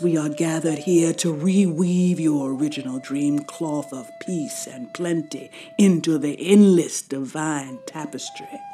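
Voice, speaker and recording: An actress playing a solemn, mystical healer priestess, chanting ceremonial invocations in a measured way. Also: female voice, low voice, dark tone. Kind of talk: incantation in an audio drama.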